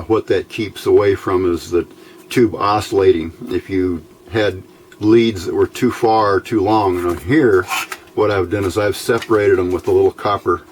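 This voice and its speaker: A man giving an informal, unscripted hands-on tutorial as host, explaining step by step in a halting, plain-spoken way. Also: low-pitched, a little mellow. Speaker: host of a DIY electronics tutorial channel. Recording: clearly noisy home recording.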